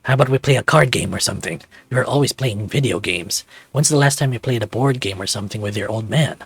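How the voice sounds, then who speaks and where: A man's voice: on the low side, quick pace, creaky, breathy edge, no room sound. A man voicing a middle-aged adoptive father who banters in a stubborn, teasing way, in a visual novel. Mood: defensive, competitive.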